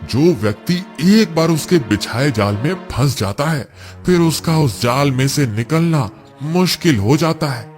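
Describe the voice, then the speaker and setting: A man's voice: on the high side, animated, unhurried, hardly any pauses, soft background music. A man voicing the soul of a deferential village priest in a cartoon moral story, respectful and earnest. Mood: earnest, warning.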